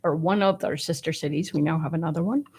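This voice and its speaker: A woman's voice: low-pitched, fast, a little mellow, husky. A woman co-hosting a local radio talk show, introducing guests and asking them questions in a friendly way. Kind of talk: casual radio chat. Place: radio studio.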